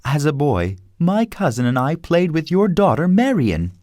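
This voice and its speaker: A man voicing a bold, cheerful outlaw leader, speaking in a higher, brighter tone than his narration. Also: sing-song, normal speed, dry recording, studio quality. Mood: welcoming.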